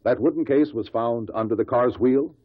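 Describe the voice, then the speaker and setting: An actor playing a shrewd, relentless investigator who questions witnesses deliberately in a 1950s radio drama. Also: male voice, medium pitch, slightly rough, natural voice. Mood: probing, formal. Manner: deliberate, probing courtroom questioning. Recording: muffled vintage 1950s radio recording.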